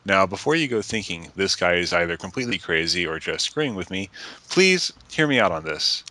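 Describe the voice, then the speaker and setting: A man's voice: low voice, very animated, a little fast, pushed and bright. A man reading a letter aloud in an earnest, emphatic manner. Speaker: reader of a letter to friends.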